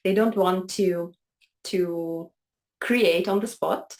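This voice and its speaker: A woman's voice: fairly low pitch, slow pace, a little mellow. A woman from Hungary with a Hungarian accent, a piano teacher talking earnestly about her path as an interview guest over a video call. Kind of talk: conversational storytelling.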